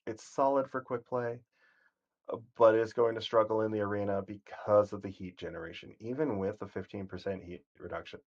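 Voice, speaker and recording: A man talks through a game stream in a calm, soothing voice that drifts and corrects itself as he goes. His voice sits low, and the stream audio is dull and lo-fi. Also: a little fast. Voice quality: soothing, sleep-inducing voice. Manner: calm, rambling, self-correcting. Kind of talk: casual gameplay commentary.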